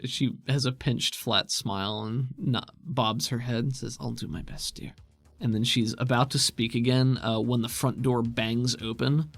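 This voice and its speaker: Podcast game master, middle pitch, casual improvised narration and chat, dry studio-like sound. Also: fairly even intonation, measured pace, no room sound, soft background music.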